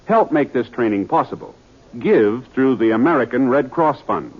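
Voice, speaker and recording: A male radio announcer giving a formal, measured introduction and public service announcement on a 1950s broadcast. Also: mid-range voice, lively intonation, husky. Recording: dull old radio broadcast with music.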